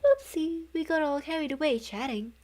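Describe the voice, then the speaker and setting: A woman's voice: high voice, moderate pace, a little mellow, pitched above the performer's own voice, natural voice. A woman voicing a friendly, chatty ghost with folksy, loose-grammared speech in a storybook read-along. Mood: chatty, warning.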